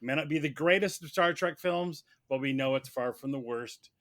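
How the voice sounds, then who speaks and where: A man playing a mock defense lawyer, making a wordy, halting film argument in formal vocabulary. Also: fairly high pitch, a bit bright. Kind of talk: semi-prepared humorous film argument.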